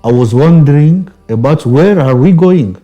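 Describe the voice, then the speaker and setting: A man's voice: fairly high pitch, animated, moderate pace, warm tone, dull audio. A young man with a Congolese accent giving a reflective interview, hesitant and searching for words, often repeating phrases. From Democratic Republic of the Congo. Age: young adult. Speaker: Benedictine monastic brother, interviewee. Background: background music.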